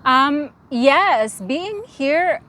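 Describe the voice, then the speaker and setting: A woman's voice: high voice, animated, extremely slow, bright, forceful tone, slight background noise. Earnest woman with a Filipino accent. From Philippines. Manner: earnest, frank, often restarts words. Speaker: interviewee. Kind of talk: casual interview.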